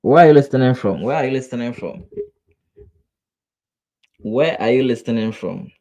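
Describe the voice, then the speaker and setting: This is a man with a Ghanaian English accent who runs a live trading webinar. His voice sits at an ordinary middle pitch. He addresses his viewers directly and earnestly. He often circles back on phrases and poses rhetorical "what?" questions. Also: animated, moderate pace, dark tone. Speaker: trading instructor.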